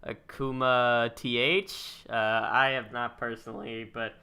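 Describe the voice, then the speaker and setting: A man's voice: medium pitch, little pitch movement, takes it slowly, a crowd in the background. A young man in his twenties hosting a casual podcast, rambling and laughing as he reads viewer questions. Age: 20s. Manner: rambling, laughs often, trails off and restarts. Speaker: podcast host. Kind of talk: casual chat reading live chat.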